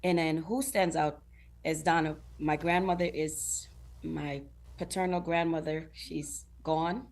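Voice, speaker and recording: Low voiced woman from guyana on a talk show, earnest, laughs a lot, acts out other people's lines. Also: fairly even intonation, measured pace, darkish tone. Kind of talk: casual interview chat.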